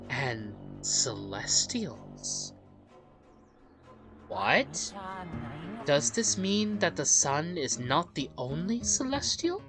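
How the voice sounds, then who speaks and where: A woman hosting a let's play, reading a game's story aloud in a dramatic storyteller way and adding her own commentary. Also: on the low side. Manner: dramatic storyteller delivery with interjections. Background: game music.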